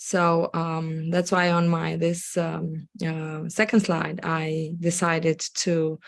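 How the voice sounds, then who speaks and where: Romanian-accented woman presenter, low, even delivery, dry sound, a bit squeaky from a cold, lots of hesitations. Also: slow pace, vocal fry, dry recording. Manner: hesitant, many ums and restarts. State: has a cold, slightly squeaky voice. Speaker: guest expert presenter. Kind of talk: live webinar presentation.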